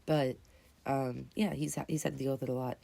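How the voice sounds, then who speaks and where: A woman in her twenties, raspy and just woken up, rambling earnestly and correcting herself as she hosts a casual live chat. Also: very deep voice, steady tone, brisk, warm tone, no echo. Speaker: livestream host.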